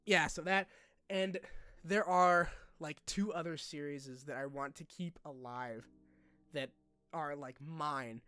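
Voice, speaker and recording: Young male vlogger, voice a bit on the high side, informal and halting, colloquial phrasing, light music underneath. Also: sharp tone, clean tone, dry recording. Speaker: online video creator. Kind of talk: informal personal vlog, talking to subscribers.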